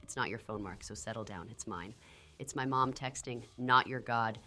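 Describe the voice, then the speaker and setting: A woman's voice: a bass voice, moderate pace, sharp tone. A woman playing an exasperated, skeptical wife in a horror audio drama. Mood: cold. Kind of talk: old-time radio style horror audio drama acting.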